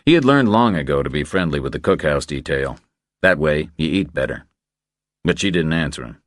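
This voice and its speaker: A man's voice: very deep voice, animated, normal speed, no room sound, studio quality. A man reading scripted crime thriller narration as an audiobook narrator.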